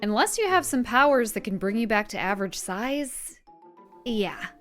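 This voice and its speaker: Young heroine, played by a woman, higher pitch, apologetic, dutiful, placating, audio drama, soft music behind. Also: very animated, normal speed. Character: dutiful, apologetic young female superhero making an arrest.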